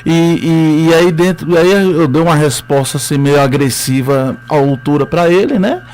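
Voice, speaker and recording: A man's voice: fairly high pitch, moderate pace, darkish tone, slight background noise. A man with a Northeastern Brazilian accent, a history teacher telling his story as a radio interview guest, hesitant with many fillers.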